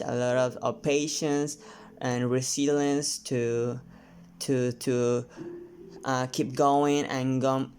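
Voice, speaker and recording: A painter from Mexico with a Mexican Spanish accent, his first language Spanish, talking casually and haltingly to camera with many restarts. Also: medium pitch, a little mellow, smooth. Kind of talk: casual vlog talk while painting.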